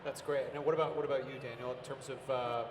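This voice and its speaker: An American man hosting a convention panel, asking questions eagerly with energetic restarts. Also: medium pitch, fast, noisy background. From United States. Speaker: panel host. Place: large convention hall stage. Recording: roomy echoing hall, distant from the mic, audible crowd ambience.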